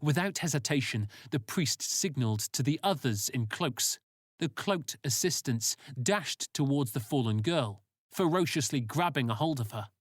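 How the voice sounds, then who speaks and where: A storyteller reading scripted fiction in audiobook style. The middle-pitched voice moves with lively intonation, and the recording is dry with little room sound. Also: moderate pace, no room sound. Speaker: author narrating own story.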